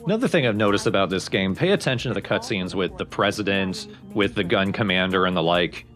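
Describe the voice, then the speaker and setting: A man's voice: low voice, expressive, fast talker, fairly bright tone. A man giving casual, explanatory let's play commentary on a video game. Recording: game music behind commentary.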